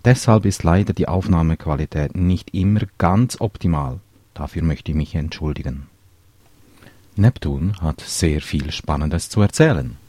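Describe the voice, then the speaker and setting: A man's voice: a bass voice, moderate pace, mellow, dry recording. A man hosting an interview, asking teasing questions in a joking manner. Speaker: podcast host. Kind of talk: hosted interview.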